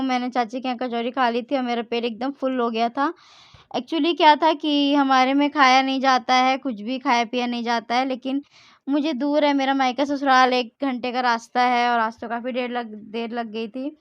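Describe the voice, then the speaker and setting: Chatty high-voiced Indian woman vlogger. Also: little pitch movement, moderate pace, smooth, dry recording, lo-fi sound. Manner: chatty, rambling, frequent self-corrections. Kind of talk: casual vlog narration.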